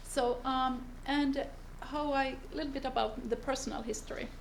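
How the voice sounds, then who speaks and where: Brief: woman from northern Finland, seminar speaker, gravelly and fairly high voice, unhurried delivery with searching pauses. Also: fairly even intonation, a little hiss or hum, low-quality recording. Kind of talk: seminar presentation. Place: seminar room.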